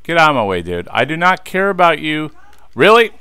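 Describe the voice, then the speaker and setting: A man's voice: on the high side, very animated, a little fast, bright, forceful tone, clean tone, no room sound. A man in his thirties chatting casually to viewers as he plays a game, easily frustrated and talking to them directly. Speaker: let's play host. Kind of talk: casual gameplay commentary.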